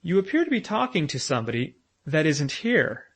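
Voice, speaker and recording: A man voicing a kindly, absent-minded old professor who turns fussy and excitable. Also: fairly high pitch, fairly quick, voice pushed up. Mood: puzzled.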